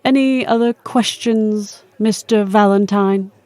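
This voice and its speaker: An actress playing an imperious supernatural boss in an audio drama, formal and commanding with cool menace. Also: female voice, mid-range voice, steady tone, slow, mellow, dry recording, lightly acted. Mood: coolly threatening. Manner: formal, commanding, coolly threatening.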